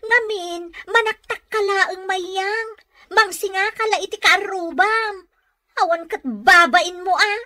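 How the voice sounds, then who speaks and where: A high-voiced, haughty Ilocano housewife. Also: female voice, slow pace, sharp tone, exaggerated voice. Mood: boastful, scornful. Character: haughty, boastful housewife, brusque with her neighbor. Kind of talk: radio comedy acting.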